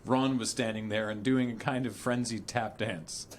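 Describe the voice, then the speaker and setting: A man's voice: on the low side, steady tone, normal speed, a little hiss or hum. A man reading an absurd story aloud theatrically for comedy, prone to breaking up as he goes. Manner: theatrical, often breaking into laughter. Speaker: reader on a charity stream.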